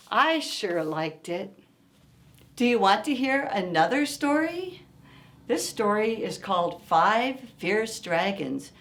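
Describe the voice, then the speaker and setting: Slow-paced woman reading a children's story. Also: mid-range voice. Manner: expressive, asks listeners simple questions. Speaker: children's story-time reader. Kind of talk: read-aloud storytime for young children.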